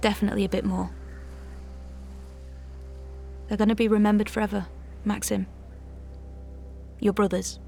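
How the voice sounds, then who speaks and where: A woman's voice: medium pitch, level tone, brisk, gravelly, darkish tone, faint music behind, natural voice. Actress as a tentative, kindly village woman. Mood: tender. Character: gentle, awkward, caring village woman in wartime aftermath. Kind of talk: naturalistic audio drama acting.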